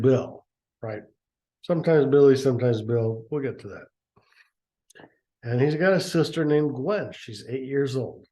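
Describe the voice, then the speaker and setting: A man reads a story aloud and keeps interrupting himself with dry, sarcastic asides. His voice is mellow and low-toned, and he takes his time. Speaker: reader and commentator. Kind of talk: sarcastic commentary on a book reading.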